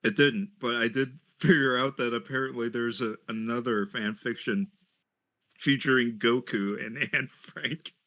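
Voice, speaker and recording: A man's voice: medium pitch, steady tone, unhurried, pushed and bright. A man chatting casually as he comments on a story reading. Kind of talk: casual chat.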